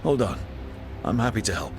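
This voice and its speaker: A man's voice: lowish voice, sing-song, a little fast, soft background music. A man playing an earnest, level-headed party leader in a fantasy video game. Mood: earnest, steady.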